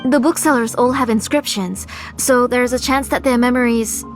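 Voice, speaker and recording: A polite but firm mother from a video game acted by a woman speaks in a fairly high voice. She is measured, guarded and protective, with faint music underneath. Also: steady tone, natural voice. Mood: defensive.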